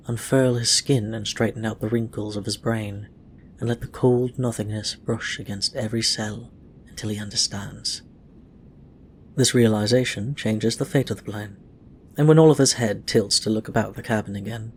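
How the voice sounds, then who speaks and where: A man's voice: on the low side, steady tone, normal speed, a little hiss or hum. A man reading fiction aloud as a scripted narration. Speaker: audio fiction reader. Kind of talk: scripted story narration with acted dialogue.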